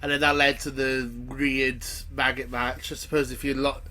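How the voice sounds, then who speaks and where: A man's voice: medium pitch, level tone, moderate pace, bright, forceful tone. A man hosting a casual watch-along reaction show, sounding nasal from a cold and chatting jokily with fillers. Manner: chatty, jokey, thinks aloud with fillers.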